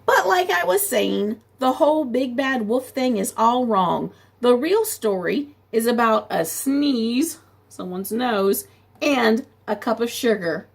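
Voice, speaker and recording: A woman voicing a smooth-talking male wolf who pleads his innocence in a storybook, with a gravelly, husky voice and a chatty, persuasive manner. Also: on the high side, lively intonation, takes it slowly, full character voice.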